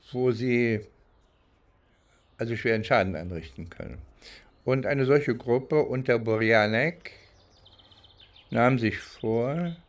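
Slow, halting older man. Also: medium pitch. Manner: halting, deliberate, searching for words. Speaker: interviewee recounting criminal cases. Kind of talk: unscripted reminiscing in a documentary.

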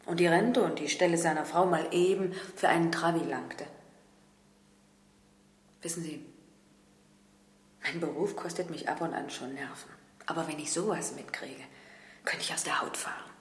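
An actress playing a stern but caring hospital doctor in a radio crime drama, speaking frankly and emphatically. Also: female voice, low voice, fairly even intonation, normal speed, pauses often, slight background noise, close to an everyday voice. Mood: exasperated. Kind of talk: 1970s German radio crime drama acting. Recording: echoey, reverberant room.

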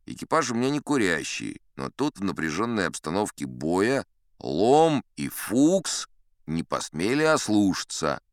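Deep-voiced old captain spinning yarns. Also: male voice, sing-song, a little slow, dry recording, clean studio sound. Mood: boastful, animated. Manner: conversational, sly, leisurely, addressing a young listener. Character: old sea captain spinning tall tales, folksy, self-assured. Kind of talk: dramatized audiobook storytelling.

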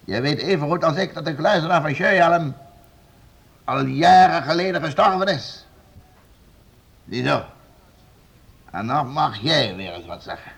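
A man's voice: a little high, lively intonation, measured pace, vocal fry, pauses often, fairly bright tone, husky, heavily acted. An actor playing a commanding, scornful nobleman in a radio play. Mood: accusing, angry. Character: stern, domineering male nobleman, bullying and sure of himself.

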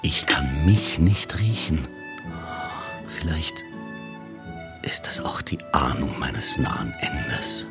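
An actor playing a morose, brooding middle-aged police inspector in a radio drama, grumbling with dry sarcasm. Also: male voice, a bass voice, big pitch swings, slow, pauses often, music in the background, full character voice. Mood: gloomy, sardonic.

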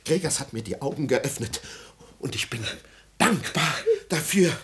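An actor playing a self-pitying, middle-aged wronged husband in a radio play, declamatory and wounded. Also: male voice, mid-range voice, lively intonation, a little slow, a bit bright. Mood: anguished, accusing. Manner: declamatory, melodramatic. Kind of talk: classic German radio play acting.

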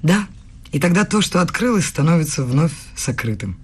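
A woman acting in a radio play as an eighteen-year-old philosophy student, eager, nervous and deferential, with a husky voice. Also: low-pitched, sing-song, normal speed, heavily acted. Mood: earnest, admiring. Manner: earnest, flustered, deferential.

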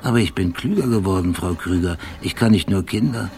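An actor playing an obsessive, brooding police detective in a radio drama, speaking in a deliberate, intense, literary way. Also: male voice, deep voice, darkish tone, faint music behind. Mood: bitter, menacing. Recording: clear background noise.

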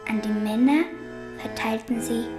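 Slow, high-voiced woman in echoey space. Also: steady tone. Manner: measured, short separated phrases. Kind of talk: slow recited eyewitness account in a radio feature. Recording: echoey room under loud music.

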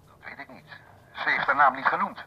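A man playing a careful, obliging hotel receptionist in a radio drama, creaky and husky, speaking formally through a telephone filter. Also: mid-range voice. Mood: careful, hesitant. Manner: precise, measured, formal. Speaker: actor in a detective radio play. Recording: dull, muffled telephone line.

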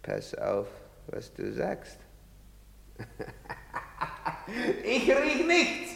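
An actor playing a drunken, boastful, bullying soldier in theatrical style, blustering and repeating himself with slurred words. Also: male voice, high-pitched, huge pitch swings, extremely slow, gravelly, a bit bright, full character voice. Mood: drunken, scornful. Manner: slurred, repetitive drunken bluster with laughing and outbursts. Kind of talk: theatrical stage acting. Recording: echoey theatre hall, long reverb.

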